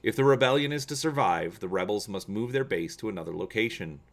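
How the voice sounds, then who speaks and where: A man with a lowish voice hosts a dramatic reading of an adventure gamebook. His phrasing is measured and theatrical, in the manner of an audiobook narrator. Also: expressive. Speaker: host of a gaming channel.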